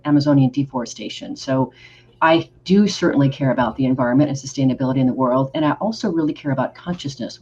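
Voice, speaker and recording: A woman's voice: low-pitched, a little mellow. A woman in her fifties from Oregon hosting an interview podcast, with enthusiastic, effusive questions. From Oregon, United States. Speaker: podcast host. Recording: slightly dull recording with faint background noise.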